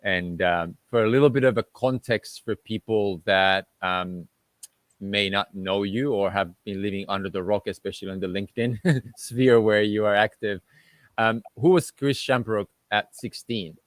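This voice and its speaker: A man hosts a live-streamed interview, his voice low and deep. His speech is friendly and loose, full of little fillers. The sound is dry and close, with no room around it. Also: fairly even intonation, moderate pace, no room sound. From Finland. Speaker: host. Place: at home in a tropical island location.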